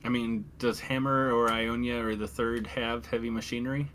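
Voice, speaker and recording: A man's voice: mid-range voice, flat delivery, moderate pace, fairly bright tone. A man chatting casually as a player in a tabletop game, with a wry manner. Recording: faint background noise on live stream.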